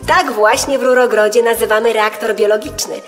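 A woman acting a calm, knowledgeable guide to young explorers in a children's audio drama, reassuring and explanatory. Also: a little high, fairly quick, long reverb tail, soft background music, natural voice.

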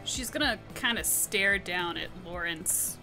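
A woman playing a tabletop roleplaying game, narrating her character with measured, deliberate phrasing. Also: on the low side, animated, rough recording. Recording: loud music behind the voice.

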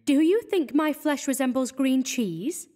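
A man reading aloud, voicing a young woman who is an artist's model, lively and chiding. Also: extremely high pitch, measured pace, big-room sound, voice pushed up. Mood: puzzled.

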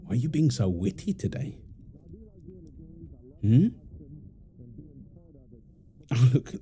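A man's voice: mid-range voice, big pitch swings, takes it slowly, dark tone, a little hiss or hum. A man playing a playful, teasing young husband and doting father in an intimate, affectionate domestic ASMR roleplay. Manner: teasing, affectionate, chuckling banter. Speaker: roleplay audio performer.

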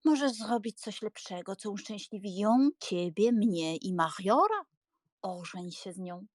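A woman plays a fussy, elderly maiden aunt in an audiobook drama, prim and easily scandalised. She speaks slowly and emphatically in a medium-pitched voice, recorded very dry. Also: lively intonation, dry recording. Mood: earnest, coaxing.

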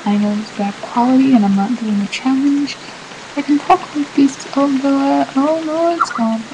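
A woman's voice: high-pitched, moderate pace. Apologetic teen girl rambling on a webcam. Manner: apologetic, rambling, many fillers. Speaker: vlogger. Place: small bedroom indoors. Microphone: laptop webcam mic. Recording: noisy webcam recording with hiss.

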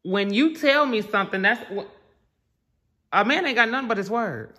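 Bright-voiced, bossy middle-aged woman. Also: on the high side, lively intonation, moderate pace. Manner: bossy, blunt, teasing, with colloquial slang. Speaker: vlog host and mother. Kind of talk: casual family vlog chat. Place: indoors at a family home.

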